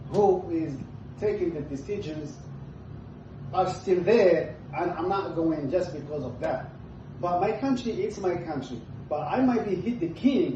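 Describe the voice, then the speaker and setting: A man's voice: a little high, dark tone, a little hiss or hum. A man from Morocco, a guest in a casual apartment conversation, speaking bluntly and swearing freely. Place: apartment room. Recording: distant, echoey, dull room recording.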